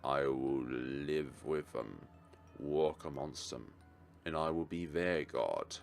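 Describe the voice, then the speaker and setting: An ominous hooded man acted out by a male game reader speaks in slow, portentous phrases. The voice is very low and creaky, solemn and cryptic like a dark prophet. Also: faint music behind, heavily acted. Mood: ominous, grand.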